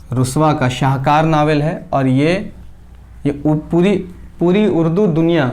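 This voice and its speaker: A fairly high-voiced man teaches a class at a board. He speaks very slowly, repeats each name piece by piece, and poses questions that he answers himself. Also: a little mellow. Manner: slow and repetitive, dictates names word by word.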